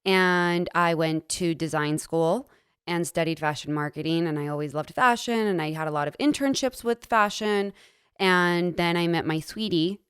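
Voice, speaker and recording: A young adult woman from the United States, co-hosting a podcast and talking in a relaxed, matter-of-fact way. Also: lowish voice, moderate pace, clear, resonant tone, no room sound, studio quality. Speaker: podcast co-host. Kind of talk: casual chat.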